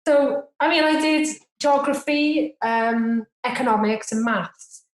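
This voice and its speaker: A woman's voice: high voice, slow pace. Chatty Welsh woman, roomy sound. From Cardiff, Wales. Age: 40s. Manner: chatty, self-deprecating, with fillers. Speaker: podcast guest. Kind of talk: casual interview chat. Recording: roomy echo.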